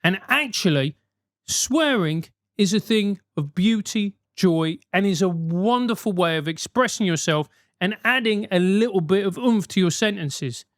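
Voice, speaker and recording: South London male host, animated advice rant, loose and profane, dry close mic. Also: high-pitched, measured pace, dry recording. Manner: animated, emphatic, swears freely.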